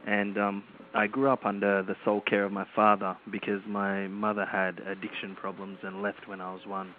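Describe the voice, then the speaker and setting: Man, author guest, very deep monotone, measured, phone-line audio, faint hiss. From Australia. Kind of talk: interview answers.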